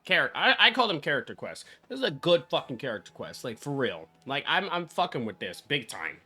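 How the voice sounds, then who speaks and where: A man streaming a video game with casual, blunt, excitable, foul-mouthed reaction commentary. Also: medium pitch, a little fast, husky. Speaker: gaming streamer.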